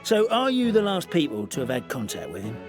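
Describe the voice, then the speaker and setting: An actor playing a theatrical, dithering official in a fantasy game, hesitant, dramatic and wry. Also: male voice, a little high, huge pitch swings, brisk, music in the background. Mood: reassuring. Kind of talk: video game voice acting.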